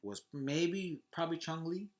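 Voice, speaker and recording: A man's voice: a little high, animated, a little slow. A man in his thirties chatting casually as a podcast co-host, with an informal, slangy way of talking. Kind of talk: casual chat.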